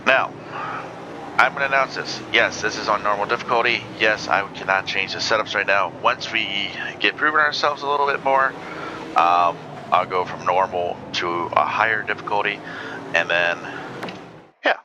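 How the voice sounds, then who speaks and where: A man's voice: lowish voice, normal speed, muffled recording. A man hosting a gaming channel, chatting casually and rambling with lots of ums while he plays a racing game. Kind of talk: casual gameplay commentary. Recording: loud game engine noise behind voice. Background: racing car engines from the game.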